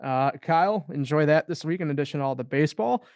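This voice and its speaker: A man's voice: fairly high pitch, lively intonation, fast, darkish tone, no room sound. A man co-hosting a sports podcast, talking casually and loosely with lots of fillers. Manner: loose and rambling, heavy on fillers.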